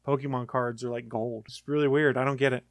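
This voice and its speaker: A man's voice: medium pitch, steady tone, fast talker, no room sound, clean studio sound. A man co-hosting a casual gaming podcast, talking in long, rambling explanations. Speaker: podcast co-host. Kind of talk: casual chat.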